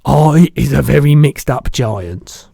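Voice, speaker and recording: A man's voice: medium pitch, lively intonation, slow, creaky, warm tone, no echo, studio quality, pitched above the performer's own voice, full character voice. A man voicing a big friendly giant from a storybook, blustering, muddled and good-natured, speaking emphatically in garbled childlike grammar. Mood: proud, emphatic.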